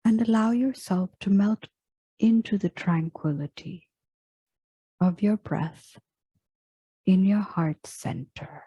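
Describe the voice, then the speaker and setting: A woman leading a guided meditation in a soft, breathy, hushed voice, speaking gently and in measured phrases with long pauses. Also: mid-range voice, no room sound, muffled recording. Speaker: meditation guide and yoga teacher. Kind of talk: slow guided meditation, scripted.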